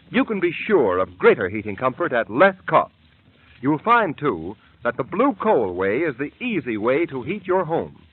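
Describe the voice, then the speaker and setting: A man's voice: a little high, slightly rough, no room sound. Formal old-time male radio announcer. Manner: formal, emphatic. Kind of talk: 1930s radio commercial and narration. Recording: tinny old radio broadcast with music.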